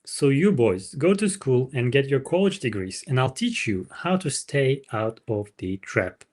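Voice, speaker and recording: A man's voice: mid-range voice, lively intonation, measured pace, darkish tone. Man reading as a frank, didactic older mentor. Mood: earnest, instructive. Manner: didactic, emphatic. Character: wise, frank older male mentor teaching boys about money.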